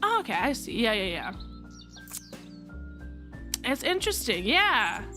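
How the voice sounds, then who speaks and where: A woman's voice: on the high side, very animated, measured pace. A young adult woman streaming a game, rambling candidly in casual chat, swearing freely and restarting her sentences often. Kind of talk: casual chat while gaming. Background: faint background music.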